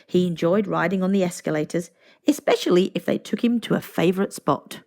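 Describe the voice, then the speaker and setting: A woman narrating a children's storybook aloud in an expressive, deliberate storytelling manner. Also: fairly low pitch.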